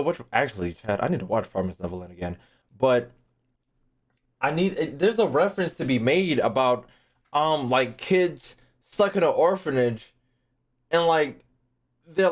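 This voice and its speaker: A young man talking casually on a live stream, slangy and rambling as he thinks aloud while reacting. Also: fairly high pitch. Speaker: streamer reacting to game lore.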